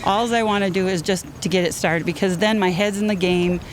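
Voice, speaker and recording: A woman in her fifties, a roundup rider, telling stories in a lively way and laughing easily during a casual outdoor interview. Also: medium pitch, brisk. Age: 50s. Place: outdoors at a busy event. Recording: noisy outdoor field recording.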